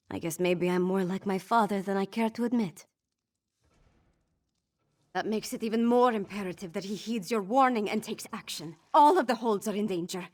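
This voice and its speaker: Wry, earnest young princess, played by an actress. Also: female voice, medium pitch, natural voice. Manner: earnest, informal, self-deprecating. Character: young princess, wry, rough-edged, grieving and unsure but resolute. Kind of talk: video game voice acting.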